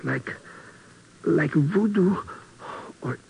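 An actor playing a self-pitying wounded rogue, halting and melodramatic, in a 1950s radio drama. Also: male voice, a little high, muffled recording. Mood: weak, plaintive. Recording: noisy old radio broadcast recording.

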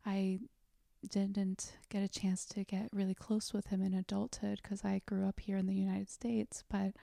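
A young woman from South Korea, speaking as an interview guest in a soft, thoughtful way with frequent pauses. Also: mid-range voice, creaky, no room sound, clean studio sound. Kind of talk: reflective interview talk.

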